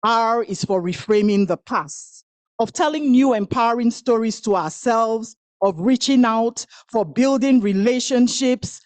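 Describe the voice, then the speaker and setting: A woman whose first language is Igbo performs spoken-word poetry in a slow, measured rhythm, letting each phrase land before the next. Her delivery is incantatory, with long pauses between lines. The sound is close and dry. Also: a little high, a little mellow, no echo. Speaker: speaker at a women's event.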